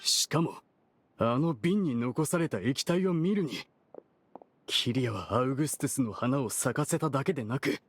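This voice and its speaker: An actor playing a brash, shifty perfume merchant in an anime game dub, talking in a rough, argumentative, casual way. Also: male voice, medium pitch, expressive, moderate pace. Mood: indignant. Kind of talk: Japanese anime game dubbing.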